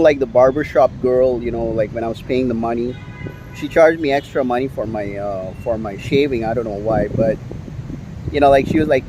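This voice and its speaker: Guy from india rambling into his camera on the street, mid pitch, lots of ums, faint traffic behind. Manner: rambling, many fillers, frustrated complaining. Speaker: YouTuber. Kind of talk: casual vlog monologue. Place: outdoors on a city street. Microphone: handheld camera.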